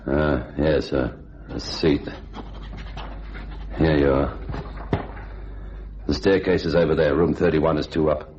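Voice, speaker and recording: An actor playing a curt, jaded young hotel night receptionist, blunt and hard-boiled in a radio noir style. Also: male voice, extremely low pitch, fairly even intonation, takes it slowly, long pauses, a little mellow, slight background noise. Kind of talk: radio noir acting and narration. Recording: dull old radio recording with music.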